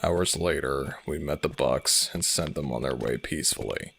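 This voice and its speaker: A man playing a world-weary, centuries-old werewolf alpha in an audio drama, brooding and sardonic, with a gravelly voice. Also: fairly bright tone, a little hiss or hum, heavily acted. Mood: somber storytelling. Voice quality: very deep, gravelly. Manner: slow, brooding, deliberate. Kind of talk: dramatic audio roleplay acting.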